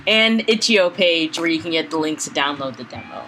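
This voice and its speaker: A woman's voice: low-pitched, lively intonation, a little fast, pushed and bright, soft background music. A young woman chatting casually as a co-host of a let's play video. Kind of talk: casual chat. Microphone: fallback mic after the main one failed. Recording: faintly noisy, no proper microphone.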